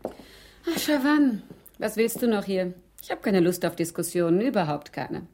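An actress as a scornful woman, lively pitch. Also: unhurried, slight background noise, lightly acted. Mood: weary contempt. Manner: scornful, curt. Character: contemptuous, hard-edged woman with no patience. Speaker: radio drama actress. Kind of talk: 1980s German radio crime drama acting.

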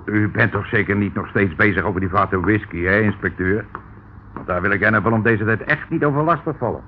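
An actor playing a meek, anxious, henpecked hotel keeper in a radio play, fussy and placating. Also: male voice, fairly low pitch, fairly quick, natural voice. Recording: narrow, tinny old radio recording, faint noise.

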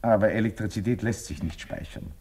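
An actor playing an impassioned expert giving an emphatic, didactic address in a 1950s radio drama. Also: male voice, lowish voice, fairly quick, darkish tone, slight background noise, lightly acted. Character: impassioned male expert lecturing on European electricity.

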